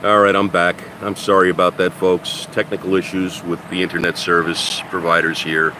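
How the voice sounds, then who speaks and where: An older man talks in a rambling, casual way, his voice a bit low and stuffed up from a cold. He speaks into a phone camera. A car engine runs loudly right behind him the whole time. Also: level tone, moderate pace. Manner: rambling and chatty, with many "uh"s and restarts. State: congested from a head cold. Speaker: car maintenance livestream host. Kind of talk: casual livestream chat with viewers. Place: home garage or driveway beside a running car. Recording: loud engine noise behind.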